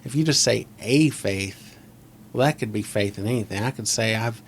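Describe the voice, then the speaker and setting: A man with a scratchy voice hosting a casual podcast, rambling and opinionated, close to a desk microphone on a boom arm. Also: normal speed, vocal fry. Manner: opinionated, rambling, asks leading questions. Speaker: podcast host. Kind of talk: casual chat. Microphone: close desk mic on a boom arm.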